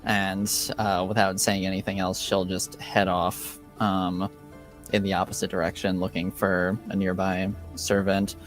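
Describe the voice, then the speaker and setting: Man, game master; slow, halting narration with fillers, mid-pitched voice, faint music under. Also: completely flat delivery.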